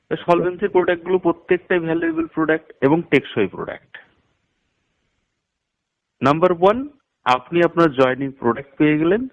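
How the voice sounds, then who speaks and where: Male promoter, animated mid-range voice, instructional and emphatic, dull lo-fi phone-call sound. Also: medium pitch. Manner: instructional, step-by-step, emphatic with figures. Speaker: network marketing promoter. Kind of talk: explaining a business plan over a phone call.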